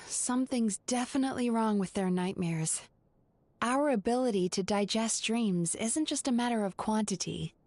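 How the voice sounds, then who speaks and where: A woman voicing a calm, caring young female spirit who digests dreams, speaking earnestly in a video game. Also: medium pitch, no room sound, lightly acted.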